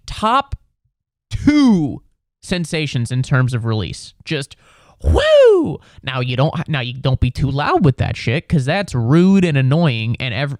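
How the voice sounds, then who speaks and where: Sick twenty-something guy ranting on his podcast, slow and draggy, voice jumps all over the place, cussing a lot. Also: dry recording, clean studio sound. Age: 20s. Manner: profane, exasperated ranting with sudden high-pitched outbursts. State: sick with a cold. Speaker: comedian podcast host. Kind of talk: ranting comedic monologue. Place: home room.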